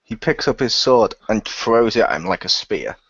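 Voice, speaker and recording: A man's voice: lowish voice, animated, moderate pace, fairly bright tone, husky, no room sound, dull audio. A man playing a role-playing game, improvising third-person narration and joking in character.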